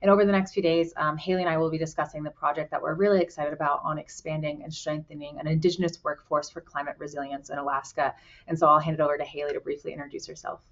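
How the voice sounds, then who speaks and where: A woman's voice: low-pitched, steady tone, fast. A woman from Colorado, a program director, giving an earnest conference presentation with frequent "um"s over a video call. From Colorado, USA. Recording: muffled video call audio.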